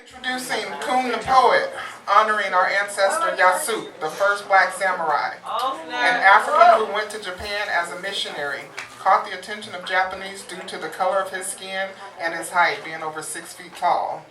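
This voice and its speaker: A woman's voice: medium pitch, steady tone, moderate pace. A woman announcing a stage act, reading a scripted introduction at a live event. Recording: echoey, distant live event with crowd.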